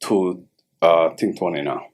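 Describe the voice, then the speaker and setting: A man in his fifties from Somaliland, native Somali speaker with a Somali accent, answering interview questions in halting English with lots of short agreeing replies. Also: deep voice. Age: 50s. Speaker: podcast guest. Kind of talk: casual interview conversation.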